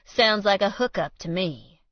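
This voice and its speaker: A woman voicing a smug, spiteful man who needles his rival in loud, quick taunts. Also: medium pitch, expressive, dry recording, pitched above the performer's own voice, natural voice. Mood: taunting. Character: sneering jealous male councilman, smug and spiteful. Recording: dull lo-fi dry read.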